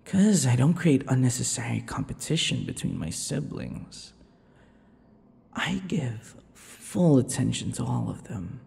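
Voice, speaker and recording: A man's voice: medium pitch, very animated, a little mellow, in a large hall, full character voice. A man playing an obsessive, vain, sadistic vampire lover in an intimate ASMR roleplay, drawing out words and slipping into whispers. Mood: possessive, proud. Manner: slow, breathy whispers and giggling, drawn-out words. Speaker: roleplay voice actor.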